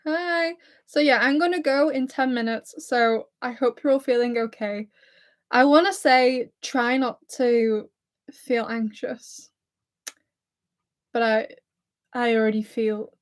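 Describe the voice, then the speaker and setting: Giggly woman with a Lancashire-Scouse accent. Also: on the high side. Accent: Lancashire and Scouse mix. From England, near Blackpool. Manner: chatty, giggly and self-deprecating, nervous. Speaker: YouTuber hosting a livestream. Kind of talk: casual live-stream chat reading viewer comments.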